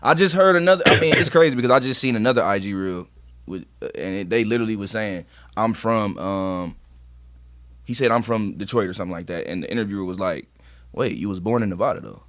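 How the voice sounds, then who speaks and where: A man's voice: fairly low pitch, lively intonation, fast, a bit bright, no echo. An American man co-hosting a podcast, debating sports casually in an emphatic, slangy, opinionated way. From Akron, Ohio, United States. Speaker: podcast co-host.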